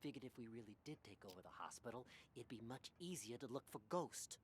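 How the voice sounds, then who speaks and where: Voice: mid-range voice, lively intonation, fast, slight background noise, close to an everyday voice. An actor playing a wary undercover hospital patient on a hunt, in a radio drama. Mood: wary, serious.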